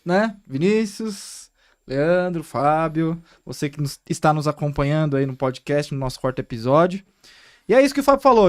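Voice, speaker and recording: High-voiced Brazilian man explaining eagerly. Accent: Brazilian Portuguese. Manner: explanatory, animated, asks listeners to agree. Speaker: podcast co-host, acupuncturist. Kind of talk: casual podcast chat.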